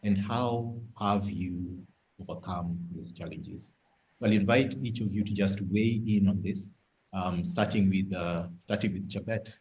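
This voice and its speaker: A man moderating a virtual business panel over a video call, courteous and somewhat halting as he speaks. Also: low voice, fairly even intonation, a little mellow, slight background noise.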